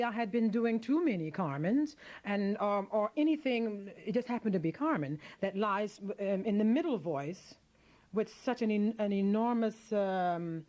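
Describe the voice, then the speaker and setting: A woman's voice: medium pitch, darkish tone. A middle-aged woman opera singer being interviewed on radio, speaking frankly and emphatically, often restarting her sentences as she thinks aloud.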